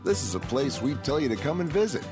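A man's voice: mid-range voice, big pitch swings, quick pace. A man reading an ad in a wry, mock-promotional tone. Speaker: ad announcer. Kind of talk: scripted ad narration. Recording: music bed under voice.

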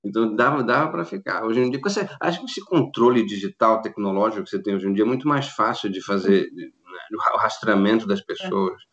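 A man's voice: on the low side, lively intonation, brisk, fairly bright tone. A Brazilian man in his fifties with a Rio accent, chatting as a guest in a casual interview over a video call, rambling with many restarts and laughs. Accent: Rio de Janeiro Brazilian Portuguese. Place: at home in Australia. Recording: dull lo-fi video call audio.

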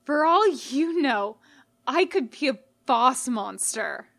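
A woman voicing an injured, wary male skeleton monster in a story, halting and snappish with a croak. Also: high voice, animated, fairly bright tone, full character voice. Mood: defiant, shaky. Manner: halting stammer, growling when frustrated.

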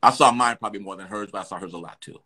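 A middle-aged man talks in a bright, forward voice. He tells his stories with lively energy, restarting his sentences as he goes, like a guest sharing anecdotes in an interview. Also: fairly low pitch, rapid-fire, breathy edge. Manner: animated, lively storyteller with restarts. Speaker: guest actor. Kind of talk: storytelling in an interview.

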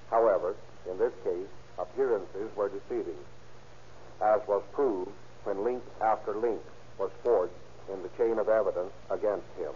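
A man's voice: on the low side, dark tone, slight background noise. A man giving a formal, stiffly read address on an old, muffled 1930s radio recording.

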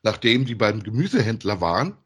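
A man's voice: lowish voice, very animated, fairly quick, breathy edge. A man co-hosting a podcast, chatting casually and with some amusement as he reviews audio dramas. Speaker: podcast co-host.

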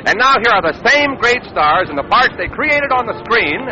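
A man's voice: very high voice, sing-song, moderate pace, a little hiss or hum. A man announcing a 1940s radio show with an American accent and a grand, proclaiming scripted delivery. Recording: muffled old radio recording with loud music.

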